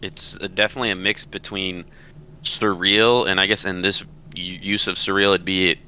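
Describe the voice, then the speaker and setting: A man's voice: low voice, little pitch movement, moderate pace, pushed and bright, highs cut off, a little hiss or hum. A man in a casual podcast chat, thinking aloud tentatively as he explains. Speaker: podcast panelist.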